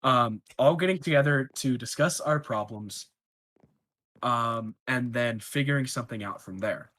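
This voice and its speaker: A man's voice: medium pitch, unhurried, fairly bright tone. A man speaking as a candidate in a casual roleplay debate, making his points in long, rambling sentences full of filler words. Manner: rambling, filler-heavy argument.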